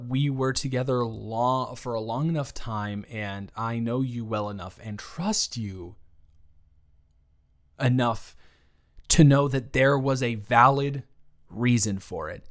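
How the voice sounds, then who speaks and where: A man playing an awkward, considerate ex-boyfriend in an improvised audio roleplay, speaking conversationally in a halting, stammering way. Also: mid-range voice. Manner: hesitant, halting, stammering, with nervous chuckles. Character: awkward, considerate young ex-boyfriend, nervously teasing.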